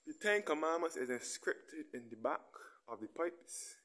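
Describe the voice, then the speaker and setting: A man giving a relaxed guided walking tour, recorded on a handheld camera as he moves from a churchyard into a large church. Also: on the high side, measured pace. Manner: relaxed, pointing things out as he walks. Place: outdoors in a churchyard, then inside a large church.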